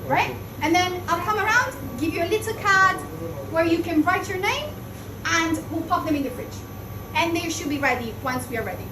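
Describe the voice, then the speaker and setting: A woman from Italy, guiding a group through a chocolate-making workshop in a fast, chatty, upbeat way and correcting herself as she goes. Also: extremely high pitch, lively intonation. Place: chocolate factory workshop room. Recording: echoey distant mic with background noise.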